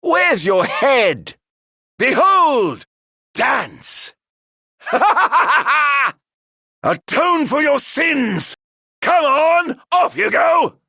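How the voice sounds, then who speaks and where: A man playing a middle-aged video game villain, sardonically genteel and flamboyant, with mock-polite, drawn-out delivery. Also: extremely high pitch, big pitch swings, vocal fry, pushed and bright, no room sound, clean studio sound, heavily acted. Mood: fierce, exultant. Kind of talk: video game battle voice lines.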